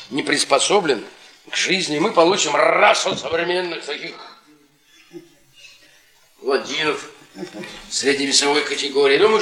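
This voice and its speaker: An actor playing a bitter, sardonic middle-aged history professor, delivering ironic, halting rhetoric in a theatrical radio play. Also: male voice, high-pitched. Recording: roomy stage sound with audience noise.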